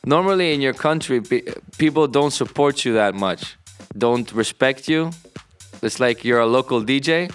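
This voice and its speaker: A man from Canada and Greece giving casual interview answers as a DJ, often halting to search for words. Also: mid-range voice, expressive. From born in Canada, moved to Greece at thirteen. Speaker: DJ and music producer. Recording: music playing underneath. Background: music.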